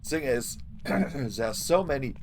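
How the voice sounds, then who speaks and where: Hoarse guy streaming a game, talks to chat a lot, voice bounces all over, bit of hiss behind. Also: mid-range voice, animated, takes it slowly, a little mellow. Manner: apologetic, chatty, talks to chat. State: hoarse, losing his voice. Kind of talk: live gameplay commentary.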